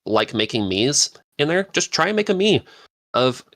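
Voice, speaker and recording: A man talking casually and enthusiastically as a podcast panelist, quick to agree with the others. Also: a little high, sing-song, a bit bright, dry recording.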